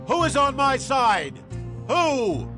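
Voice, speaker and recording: An actor playing a commanding, ruthless warrior who has seized the throne, declaiming forcefully in a dramatized Bible production. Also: male voice, very high voice, very animated, slow, heavily acted. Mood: fierce shouting. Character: commanding, ruthless warrior usurper and zealous new king. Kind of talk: dramatized Bible audio acting. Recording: background music under the voice.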